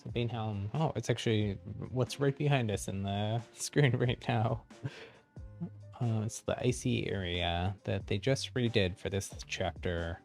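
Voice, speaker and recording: A man's voice: lowish voice, unhurried, a little mellow, soft background music. A man giving casual gameplay commentary on a livestream, explaining things as he goes and thinking aloud. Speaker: game livestreamer.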